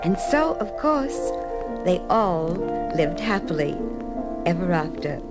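A woman performing a gentle, modest fairy-tale maiden and storyteller in a formal, lilting old-time radio style. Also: fairly low pitch, big pitch swings, slow, rough recording. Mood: joyful, tender. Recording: old radio recording under loud music.

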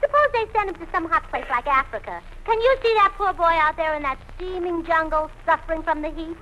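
A woman's voice: very high voice, lively intonation, a little fast, pushed and bright, exaggerated voice, poor audio. A woman playing a cheerfully illogical, scatterbrained young wife in a 1940s radio comedy, talking in a chirpy, earnest way. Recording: old radio broadcast with hiss.

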